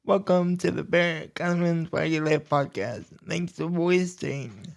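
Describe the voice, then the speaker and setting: A young man hosting his own podcast, talking slowly and deliberately about everyday life, with pauses and restarts. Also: fairly high pitch, gravelly. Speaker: podcast host. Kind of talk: casual personal talk.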